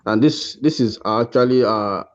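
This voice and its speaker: A man's voice: fairly low pitch, moderate pace. A man with a Nigerian accent hosting an informal awards ceremony over a video call, speaking in an encouraging, congratulatory way. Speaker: host presenting course awards. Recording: dull lo-fi online call audio.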